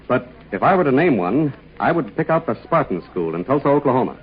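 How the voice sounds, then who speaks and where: An actor playing a steady, modest American aviator with an American accent and polite, understated manner in a 1940s radio serial. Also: male voice, medium pitch, fast talker, breathy edge. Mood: helpful. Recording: muffled, hissy old radio recording.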